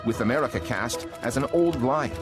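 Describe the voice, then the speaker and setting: A man narrating a news documentary in a measured, pointed, drily ironic scripted read. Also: mid-range voice, animated. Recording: voice-over over clear music.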